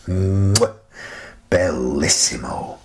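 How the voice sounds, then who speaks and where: A gentle, eccentric older British man, played by an actor, speaks in a deep voice at a very unhurried pace. He rambles wistfully through a radio play monologue, pausing and hesitating as he reflects. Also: lively intonation, gravelly, full character voice. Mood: playful. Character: gentle, wistful, eccentric old grandfather living in a playhouse.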